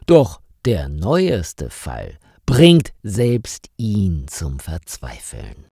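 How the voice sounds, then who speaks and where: A man reading a scripted story for language learners in a measured, carefully articulated storytelling manner. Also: low-pitched, wildly swooping pitch, gravelly, mellow, no echo, clean studio sound. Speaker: narrator of stories for language learners.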